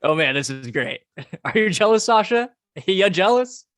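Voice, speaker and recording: A man's voice: sits high, big pitch swings, normal speed, fairly bright tone, full character voice. An actor at a table read playing a playful young man who teases and mocks his friends at a bar. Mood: teasing. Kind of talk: table read of a comedy script.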